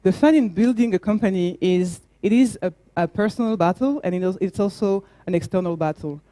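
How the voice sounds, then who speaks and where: A young adult woman with a French accent, a startup founder giving an earnest talk, often pausing with fillers and restarting her words. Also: mid-range voice, mellow, no echo, poor audio. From France. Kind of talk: conference talk.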